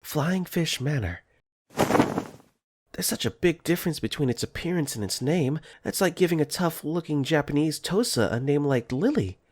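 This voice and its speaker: A man's voice: fairly high pitch, darkish tone, breathy edge. A man voicing an easygoing, wry teenage boy who narrates his own story in a conversational tone with joking asides, for a visual novel fan dub. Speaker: voice actor. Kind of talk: first-person visual novel narration and dialogue.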